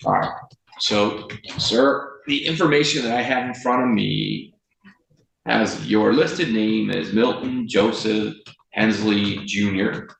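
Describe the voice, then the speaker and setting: A man with an American accent presiding as a judge, speaking formally for the record in a deliberate, firm and measured way. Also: lowish voice, vocal fry, fairly bright tone. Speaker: judge presiding over a court hearing. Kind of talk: formal courtroom speech on the record. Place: indoor courtroom. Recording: roomy echo, faint noise, courtroom audio.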